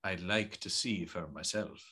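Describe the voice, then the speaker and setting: An actor playing a courteous gentleman master of a house in an audio drama, formal, kindly and authoritative. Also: sits low, a little slow, slightly rough, exaggerated voice. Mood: kindly authority. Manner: polite, formal, commanding.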